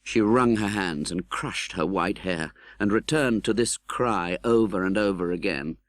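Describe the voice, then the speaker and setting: A British man playing an earnest, tender-hearted gentleman who recounts his own life in a dramatic, measured audiobook narration. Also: lowish voice, no room sound, lightly acted. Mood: reflective, sombre. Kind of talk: dramatic literary audiobook narration in first person.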